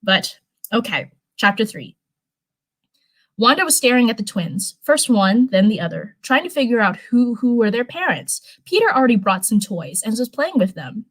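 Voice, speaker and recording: A woman's voice: on the high side, big pitch swings, normal speed, no room sound, poor audio. A woman reading fan fiction aloud in a casual livestream style, with chatty, rambling asides. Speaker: live streamer reading fanfiction.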